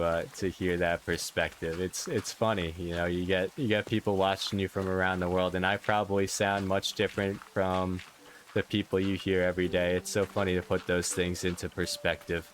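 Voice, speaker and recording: A young man with a New York accent chatting casually on a live stream, rambling and playful, reading out viewer comments. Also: a little hiss or hum. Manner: rambling, self-interrupting, playful, jumps up in pitch for excited questions. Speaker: Minecraft streamer.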